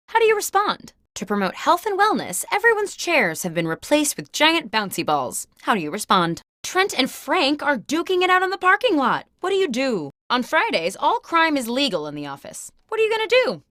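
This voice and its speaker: An actress playing an upbeat office job interviewer who hosts a video game, asking scripted questions in a theatrical, animated way. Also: female voice, medium pitch, dry recording, studio quality. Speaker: game host.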